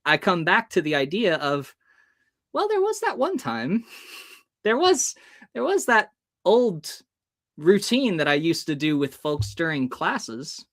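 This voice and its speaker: Animated American man telling stories. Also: high-pitched, takes it slowly. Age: early 30s. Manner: expressive, acts out dialogue as he goes. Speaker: podcast guest, hypnotist. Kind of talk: animated personal storytelling.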